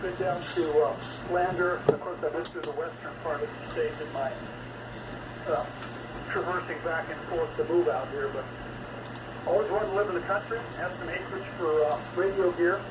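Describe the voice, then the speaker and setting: A man's voice: on the high side, normal speed, long pauses. An American man heard over shortwave radio, chatting casually as a ham operator in a folksy, wry, rambling way. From West Coast of the United States. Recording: noisy, echoey shortwave radio reception.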